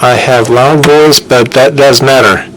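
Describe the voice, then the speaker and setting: A man who heads a business school program, giving a formal, halting welcome speech into a microphone in a lecture room, pausing often on fillers. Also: medium pitch, steady tone, noisy background. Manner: slow and halting, with many filler pauses. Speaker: business school program head introducing a guest speaker. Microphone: handheld microphone.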